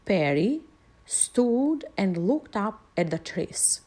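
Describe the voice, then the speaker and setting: A woman storyteller reading a picture book aloud to children in an expressive, deliberate way. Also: mid-range voice.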